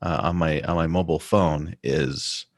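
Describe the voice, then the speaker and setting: Very deep-voiced man, halting and chatty. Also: steady tone, no room sound, clean studio sound. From United States. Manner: halting, many restarts and fillers. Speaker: podcast co-host. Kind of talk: casual conversation.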